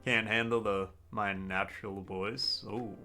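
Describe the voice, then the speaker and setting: A man live-streaming a game, chatting casually with his viewers in a deliberately raised, customer-service-style register. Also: fairly low pitch, steady tone, pushed and bright, soft background music. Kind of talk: casual live-stream chat while gaming.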